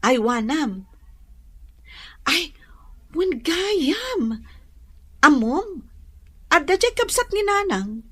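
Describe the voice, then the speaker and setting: A woman's voice: high-pitched, extremely slow, long pauses, heavily acted. An actress playing a supportive, chatty young woman friend in a radio drama, with an Ilocano accent. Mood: chatty, eager. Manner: animated, chatty, encouraging.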